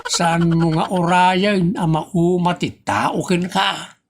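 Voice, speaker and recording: A man's voice: high voice, slow, breathy edge, exaggerated voice. A man with an Ilocano accent playing a grumbling, exasperated village father in a comedy audio drama, complaining in exclamations. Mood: indignant.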